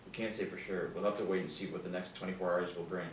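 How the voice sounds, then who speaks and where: A commanding male hospital doctor played by a man at a table read, speaking quickly in a low voice. The recording is roomy, picked up from a distance. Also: little pitch movement, close to an everyday voice. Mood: grave. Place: small indoor room. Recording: roomy distant mic with background hiss.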